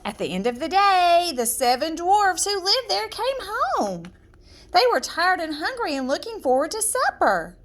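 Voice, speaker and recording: Slow, high-voiced woman reading a fairy tale. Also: big pitch swings. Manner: measured, dramatic, deliberate phrasing. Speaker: storyteller reading aloud. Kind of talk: expressive children's fairy-tale narration.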